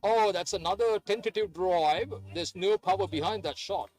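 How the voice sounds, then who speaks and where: A man's voice: high-pitched, normal speed, darkish tone, no echo, rough recording. A man who grew up in Sri Lanka, giving hesitant, chatty play-by-play of a live cricket match with many fillers. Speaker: cricket commentator.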